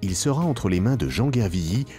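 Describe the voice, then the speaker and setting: Male narrator, low pitch, animated melody, formal scripted promotional delivery, emphatic phrasing, soft background music. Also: a little fast.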